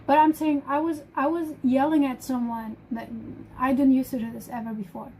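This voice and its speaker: High-voiced woman with a German accent. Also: flat delivery, normal speed, dark tone, a little hiss or hum, rough recording. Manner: emphatic, repeats and restarts phrases. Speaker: livestream host. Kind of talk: casual live-stream chat.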